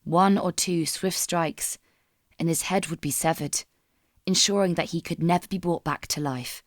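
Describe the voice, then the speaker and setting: Woman reading a dramatic story, kinda low voice, somber n measured, no room echo at all. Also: studio quality. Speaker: narrator. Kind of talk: dramatic scripted story narration.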